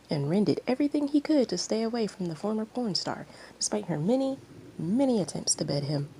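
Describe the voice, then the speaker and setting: Woman story reader, soft dark tone, lowish pitch, expressive narration, faint background noise. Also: low-quality recording. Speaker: fan fiction reader.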